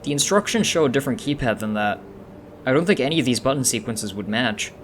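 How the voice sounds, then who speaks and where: A man's voice: mid-range voice, animated, fast, slight background noise, natural voice. A man playing a cautious, sensible teenage boy in a comic dub, speaking matter-of-factly.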